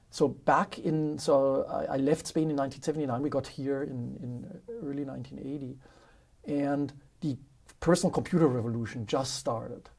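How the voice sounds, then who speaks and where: A man in his fifties with a German accent, telling stories as a guest in a studio interview, animated and quick to laugh. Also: medium pitch, vocal fry, breathy edge. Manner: animated, laughs often, acts out quotes. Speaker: guest, professor. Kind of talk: casual interview storytelling. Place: studio with dark curtain backdrop.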